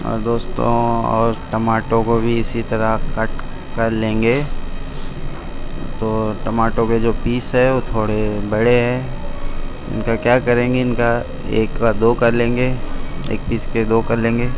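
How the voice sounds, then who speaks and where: A man talks viewers through a recipe at a gas stove in a commercial kitchen, naming each step plainly and calling them friends. His delivery stays level and even. A steady hum and kitchen noise sit clearly under his voice on the phone recording. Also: medium pitch, slow pace. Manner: addresses viewers as friends, repeats steps plainly. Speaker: cooking vlogger. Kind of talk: step-by-step cooking instructions.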